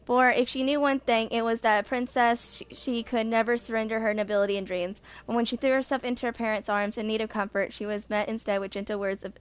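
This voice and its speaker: A woman in her twenties reading a story aloud as an amateur narrator, slightly halting in her delivery. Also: a little high, steady tone, smooth. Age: 20s. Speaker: reader of game character lore.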